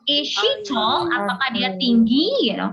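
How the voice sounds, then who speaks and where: A woman's voice: sits high, big pitch swings, a little slow, a crowd in the background. A woman with an Indonesian accent teaching English grammar informally, explaining and repeating example sentences. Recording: dull audio with clear background noise.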